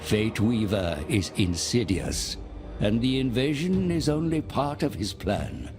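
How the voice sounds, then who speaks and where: An actor playing a cunning old sage and guide in a video game, grave, grandiose and deferential, narrating theatrically. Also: male voice, on the low side. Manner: grave, portentous. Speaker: narrator and adviser character.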